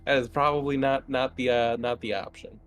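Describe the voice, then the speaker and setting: A man running a tabletop game, improvising narration casually with halting explanations. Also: medium pitch, little pitch movement, clear, resonant tone. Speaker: game master.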